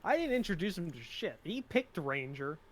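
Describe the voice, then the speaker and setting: A man's voice: a little high, very animated, moderate pace. A man chatting casually as he runs a tabletop game as game master.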